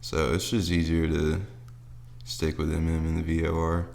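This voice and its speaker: Deep-voiced laid-back young man. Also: monotone, moderate pace, gravelly, darkish tone. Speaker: podcast co-host. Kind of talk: casual chat.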